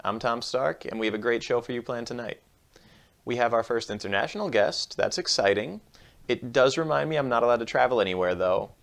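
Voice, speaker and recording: A man hosting a comedy show, delivering a scripted monologue of deadpan, self-mocking jokes. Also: medium pitch, brisk, creaky. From United States. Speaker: host.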